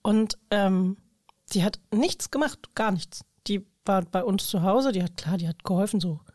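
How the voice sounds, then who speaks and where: A woman's voice: medium pitch, moderate pace, a little mellow, dry recording, studio quality. A woman chatting casually in a talkative, informal, colloquial way. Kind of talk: casual conversational chat.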